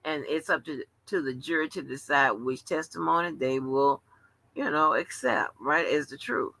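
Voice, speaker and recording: A woman's voice: sits low, moderate pace, vocal fry, bright, forceful tone, rough recording. A woman in her seventies hosting a casual late-night livestream, talking in a rambling, chatty way with salty slang. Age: 70s. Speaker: livestream host.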